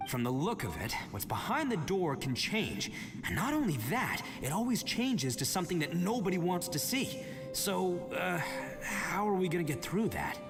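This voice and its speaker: A man's voice: high-pitched, big pitch swings, moderate pace. A boisterous young gang boss from a video game. His slangy bravado swoops up and down dramatically. Music plays behind the reverberant voice. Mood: urging. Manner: hyped, slangy, loud bravado. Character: brash, boisterous, big-hearted young male gang boss.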